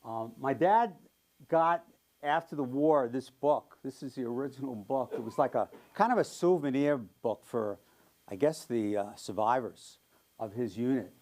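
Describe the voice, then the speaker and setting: A man's voice: medium pitch, measured pace, a little mellow. Older man with a New York accent. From New York area. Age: 70s. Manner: friendly, chatty, frequent ums and light laughs. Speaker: guest speaker. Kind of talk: casual public talk.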